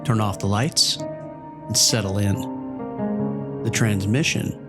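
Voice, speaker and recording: Ominous male horror host over loud music. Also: fairly low pitch, fairly even intonation. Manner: measured, ominous. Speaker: host of a horror audio series. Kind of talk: scripted atmospheric narration. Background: jazz piano music.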